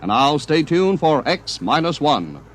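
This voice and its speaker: A man's voice: a little high, big pitch swings, measured pace. A man announcing a scripted radio promo in a polished, emphatic 1950s network style.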